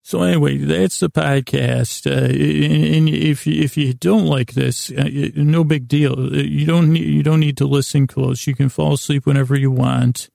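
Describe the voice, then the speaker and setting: A man hosting a bedtime podcast in a rambling, halting monologue, gravelly and creaky, with long pauses, self-corrections and silly self-deprecation. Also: fairly high pitch, fairly even intonation, dark tone, no room sound, clean studio sound. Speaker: podcast host.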